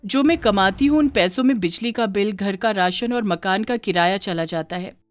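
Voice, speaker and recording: A woman's voice: medium pitch, moderate pace, no echo, close to an everyday voice. A woman voicing a poor, hardworking village mother, weary but patient, in a cartoon moral story. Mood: weary, pleading.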